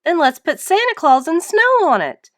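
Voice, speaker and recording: Woman playing male cartoon starfish, goofy, simple, cheerful, storybook character, very lively pitch. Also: moderate pace. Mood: eager.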